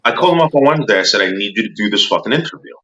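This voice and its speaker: Brief: male stream guest, low voice with a bright edge, lo-fi audio, stammers and repeats himself. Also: animated, very fast. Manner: stammering, repeats words, profane. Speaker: livestream guest. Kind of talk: casual livestream chat. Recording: dull lo-fi call audio.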